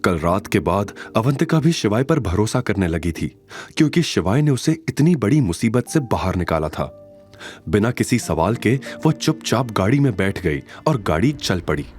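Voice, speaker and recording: Animated male story narrator. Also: fairly low pitch, moderate pace, faint music behind. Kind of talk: dramatic scripted story narration.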